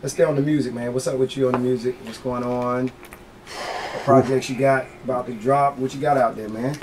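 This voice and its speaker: A man's voice: medium pitch, fairly even intonation, moderate pace. A man interviewing in a casual, familiar way with informal runs of questions. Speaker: radio interviewer. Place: radio studio. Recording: noticeable background room noise.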